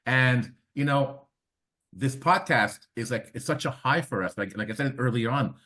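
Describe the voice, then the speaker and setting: A man's voice: medium pitch, expressive, moderate pace, pushed and bright. A man hosting a casual interview podcast, talking enthusiastically and repeating phrases for emphasis. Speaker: podcast host.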